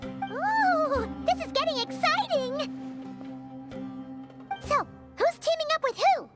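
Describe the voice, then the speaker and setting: A woman voicing a tiny, excitable, childlike floating fairy companion in a video game, squeaky and exclamatory. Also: slow pace, heavily acted. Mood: excited. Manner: exclamatory, refers to self by name. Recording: loud game music behind voice.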